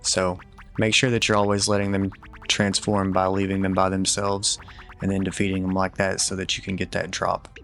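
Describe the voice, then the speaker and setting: A man with a lowish voice and plenty of vocal fry talks through a video game guide. His delivery is casual, matter-of-fact and fairly flat in pitch, offering practical advice as he goes. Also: normal speed, faint music behind. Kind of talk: casual walkthrough commentary with tips.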